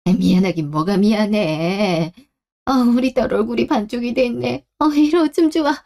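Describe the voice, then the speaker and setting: A woman's voice: medium pitch, moderate pace, a little mellow, natural voice. A woman playing a tender, distressed older mother, lamenting anxiously in a radio drama. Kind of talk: Korean radio drama acting.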